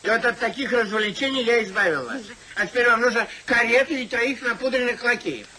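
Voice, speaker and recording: An elderly gentleman husband in a 1950s radio comedy. His voice is very high and sharp, fussy and exasperated. He declaims indignantly, stretching his exclamations in an old theatrical manner. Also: heavily acted, poor audio. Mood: indignant, scolding. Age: older. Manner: indignant, emphatic, drawn-out exclamations. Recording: 1950s radio drama recording.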